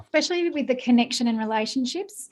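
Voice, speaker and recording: An enthusiastic woman co-hosting a podcast, encouraging and upbeat. Her voice is fairly high and strained, as she is losing it. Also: animated, fast, a little mellow. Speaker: podcast co-host.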